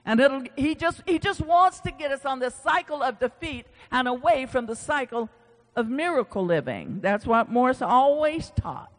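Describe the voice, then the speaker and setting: A man preaches in a long, ringing hall reverb. His delivery is conversational, with folksy asides. He repeats phrases for emphasis as he works through scripture. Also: extremely high pitch. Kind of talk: informal sermon with scripture reading.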